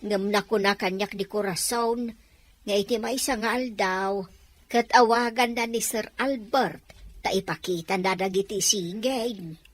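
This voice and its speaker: A woman's voice: medium pitch, a little slow, lightly acted. Woman playing chatty housemaid in a radio soap, respectful to the bosses, takes her time talking. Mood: nervous. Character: deferential, chatty household maid. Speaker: voice actor in an audio drama. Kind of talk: radio drama acting.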